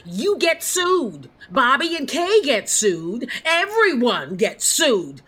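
An actress playing a hard-edged, vengeful theatre producer in an audio comedy, scolding with sharp emphasis. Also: female voice, sits high, sing-song, slow, slightly rough, full character voice. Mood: scolding, threatening. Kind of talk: comedic audio drama acting.

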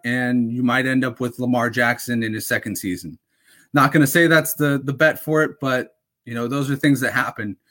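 Casting: young male podcast host, casual rambling sports talk, slightly raspy, mid-pitched. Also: a bit bright. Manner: rambling, full of fillers and restarts. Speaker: fantasy football podcast host.

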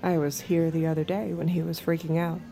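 An actress playing a watchful, manipulative escort in an intimate, measured audio drama. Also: female voice, low-pitched, flat delivery, creaky, dark tone, faint music behind, rough recording. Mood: calm. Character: cool, watchful, manipulative woman escort narrating her thoughts. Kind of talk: intimate scripted audio drama narration and dialogue.

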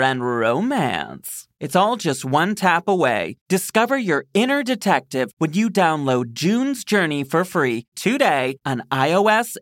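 Female ad reader: highly animated, slow and deliberate, enticing, dry close sound. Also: no room sound. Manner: dramatic, enticing delivery. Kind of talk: scripted ad narration.

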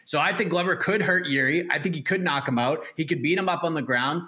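Male sports show host, bright forceful voice, medium pitch, emphatic and conversational. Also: fast talker. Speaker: host of an MMA preview show. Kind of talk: casual sports talk, punchy fight analysis.

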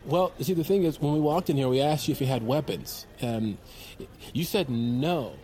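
A man's voice: mid-range voice, normal speed, dark tone, lightly acted. An actor playing a sarcastic, skeptical man in a comedy audio drama, speaking casually and with incredulity. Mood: exasperated. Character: sarcastic, skeptical male buddy on an amateur mission. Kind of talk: comedic audio fiction acting.

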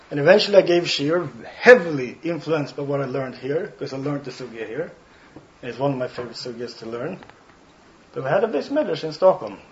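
A man with a Swedish accent gives a Torah lecture in a fairly high voice. He is earnest and careful, breaking down each phrase and clearing his throat now and then. The recording sounds dull and muffled. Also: a little mellow. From Sweden. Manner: earnest, explains Talmud phrases one by one, with dry jokes and frequent throat-clearing. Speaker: rabbi, guest speaker. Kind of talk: Torah talk to a study hall.

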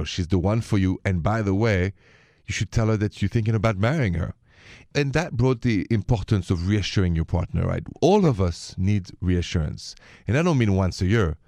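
Low-voiced French man hosting radio. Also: darkish tone, no room sound. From Paris, France. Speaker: radio host giving relationship advice. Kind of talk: conversational call-in radio talk.

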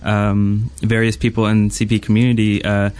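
A man's voice: lowish voice, completely flat delivery, moderate pace, darkish tone, clear, resonant tone. A man co-hosting a gaming podcast, talking casually and with enthusiasm about what he describes. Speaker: podcast co-host.

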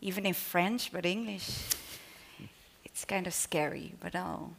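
Dutch-accented woman, lowish voice, panel author reading her own short story, hesitant. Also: measured pace, creaky. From Netherlands. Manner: hesitant, apologetic about her English. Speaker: author reading at a literary event.

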